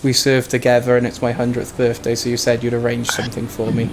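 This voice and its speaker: A man's voice: medium pitch, monotone, fast, a little hiss or hum. Joking man on gaming voice chat. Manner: joking, laughs often. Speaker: roleplay gamer. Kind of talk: casual voice chat while gaming.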